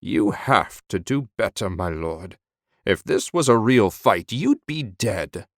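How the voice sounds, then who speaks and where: A man's voice: fairly low pitch, lively intonation, takes it slowly, no room sound, studio quality. A man doing a blunt veteran sergeant. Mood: gruff. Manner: blunt, gruff. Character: blunt veteran male sergeant, gruff trainer.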